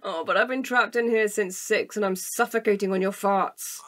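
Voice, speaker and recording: A woman's voice: mid-range voice, steady tone, normal speed, natural voice. A woman playing a bored, bossy, prickly woman in an audio drama, with a dry and blunt manner. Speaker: actor.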